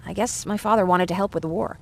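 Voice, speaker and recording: A woman's voice: mid-range voice, steady tone, quick pace, gravelly, natural voice. An actress playing an earnest, talkative young woman who survived a war, in a video game. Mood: wistful, chatty. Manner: candid, chatty. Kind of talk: naturalistic video game acting.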